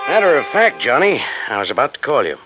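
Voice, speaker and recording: An American actor playing a matter-of-fact homicide lieutenant in a 1950s radio drama. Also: male voice, medium pitch, sing-song, fast, music in the background, natural voice. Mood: businesslike. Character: businesslike male homicide police lieutenant. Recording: dull, noisy vintage radio recording.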